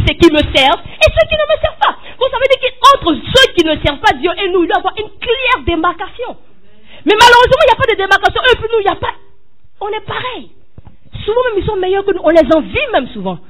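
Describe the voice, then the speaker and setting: A woman's voice: very high voice, fairly bright tone. A woman preaching an impassioned sermon, tossing questions to the congregation and rising to cries.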